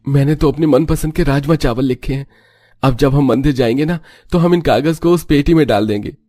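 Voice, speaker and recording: A man's voice: medium pitch, a little fast, dark tone, no echo, clean studio sound, pitched above the performer's own voice, natural voice. A man voicing an innocent, hopeful little boy in a cartoon story, talking in a childlike, eager way. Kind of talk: Hindi cartoon story voice acting.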